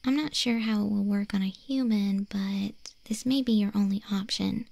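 A woman voicing a caring, teasing young wolf girl in an ASMR roleplay, speaking in a soft, gentle half-whisper close to the mic. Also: mid-range voice, fairly even intonation, normal speed, gravelly, no room sound. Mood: hesitant, coaxing. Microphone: close, dry studio microphone.

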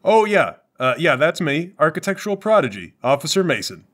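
A man's voice: fairly high pitch, sing-song, a little fast, a bit bright. Man, tabletop player, wide swinging intonation, theatrical, joking, casual banter. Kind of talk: casual comic banter and in-character roleplay.

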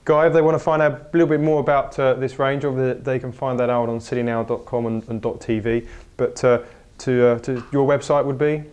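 A man's voice: mid-range voice, level tone, a little fast, a little mellow, clean tone. A man interviewing on camera in an informal, slightly hesitant way. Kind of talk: on-camera trade show interview.

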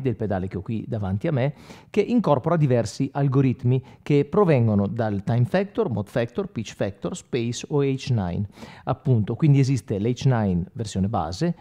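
A man's voice: medium pitch, dark tone. A man in his forties explaining effects pedals in an informal, conversational tutorial, often checking that the listener follows. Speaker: guitarist demonstrating effects pedals. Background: electric guitar playing.

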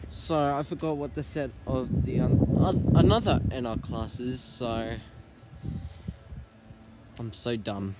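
A man's voice: medium pitch, takes it slowly, long pauses. A man vlogging as a trainspotter, chatting casually by the tracks and calling out train numbers. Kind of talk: casual trainspotting vlog narration. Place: outdoors by railway tracks and station platform. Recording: street and train noise behind.

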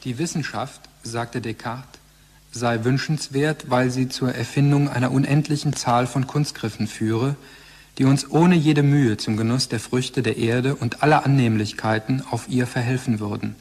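A man's mid-range voice from an actor narrates a radio play. He is formal, measured and detached, like an academic report. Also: medium pitch, steady tone, darkish tone, natural voice. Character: sober male documentary-like narrator, detached and academic. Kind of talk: scripted narration.

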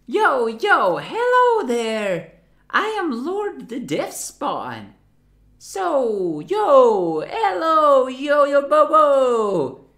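A woman voicing a nervous, jittery spawn of death who swings between chirpy greetings and hushed lines. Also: high voice, wildly swooping pitch, drawn-out words, roomy sound, heavily acted.

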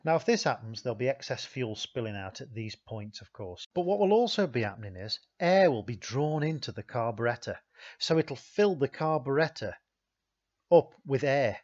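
A man, a repair instructor, giving a steady, methodical voice-over explanation of a technical animation and repeating key points. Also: mid-range voice, normal speed, lo-fi sound.

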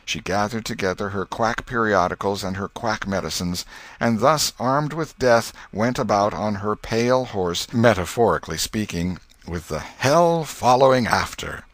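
Low-pitched male audiobook narrator, very lively intonation. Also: moderate pace, dry recording. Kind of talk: scripted literary narration.

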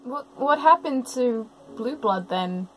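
Female reaction commentator: unscripted, exclaiming, animated high delivery with leisurely pacing, music underneath. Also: takes it slowly, rough recording. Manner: gushing, exclaims and narrates what is happening. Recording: music playing behind the commentary.

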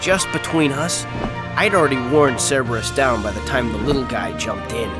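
Man acting muscular young hero, friendly, earnest, easygoing, animated video game style, clear music behind. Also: mid-range voice, normal speed, lightly acted. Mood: confiding.

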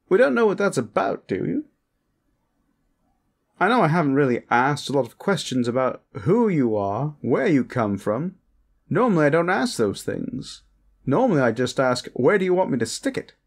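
A man's voice: on the high side, exaggerated voice. A man voicing a lecherous, flamboyant vampire protector in a comedic audio roleplay, smug, pompous and suggestive. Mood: teasing, probing. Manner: theatrical, drawn-out, suggestive, pompous. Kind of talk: comedic character roleplay monologue, talking to the listener.

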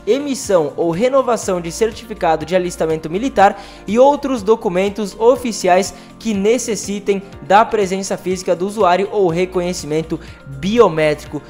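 A man's voice: high voice, moderate pace, a little mellow. A Brazilian man with a Brazilian Portuguese accent, hosting a news commentary on pension benefits, talking straight to viewers and leaning on filler words. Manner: addresses viewers directly, repetitive filler "aí". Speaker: channel host. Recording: faint background music.

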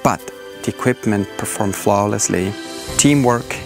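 A middle-aged man leading a drone team, narrating an expedition in a steady, matter-of-fact way. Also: on the low side, measured pace, gravelly. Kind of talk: documentary narration. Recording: music bed under voice.

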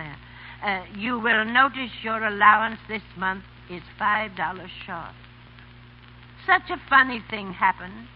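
A woman's voice: mid-range voice, close to an everyday voice. An actress playing a poor, emotional old woman apple peddler in a 1930s radio drama, with plaintive theatrical delivery. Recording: muffled, noisy 1930s radio recording with hum.

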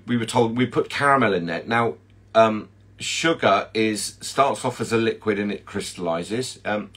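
A man's voice: fairly low pitch, breathy edge. A man in his fifties chatting casually as he hosts and teaches, digressing and correcting himself as he goes, speaking into a phone in a small kitchen. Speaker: chocolatier teacher and host. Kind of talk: casual livestream chat and explanation. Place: small indoor work kitchen. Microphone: phone at arm's length. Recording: steady air-conditioning hum, kitchen room noise.

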